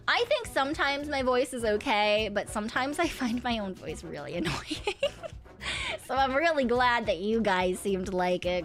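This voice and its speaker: A woman's voice: on the high side, a bit bright. A young woman streamer chatting casually, rambling with lots of hums, fillers, giggles and the odd swear word. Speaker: VTuber streamer.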